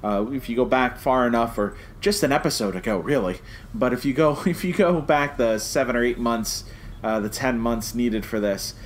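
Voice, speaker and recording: A man's voice: medium pitch, animated, moderate pace, slight background noise. A man chatting casually as a podcast co-host, enthusiastic and jokey. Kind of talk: casual podcast review chat.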